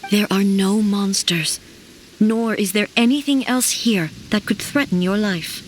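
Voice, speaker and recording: An actress playing a dignified, stern female ruler in an anime game, speaking formally with measured, solemn authority. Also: mid-range voice, a little hiss or hum, natural voice. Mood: calm, soothing.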